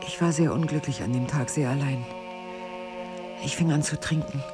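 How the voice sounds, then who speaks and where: An actress playing a middle-aged, wounded and jealous wife in an intimate drama, with intense, emphatic phrasing. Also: female voice, low voice, normal speed, loud music behind the voice, lightly acted. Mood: brooding confession. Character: wounded, bitter, jealous wife, drinker, ageing and proud. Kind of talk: intimate stage-play dialogue and confessional monologue.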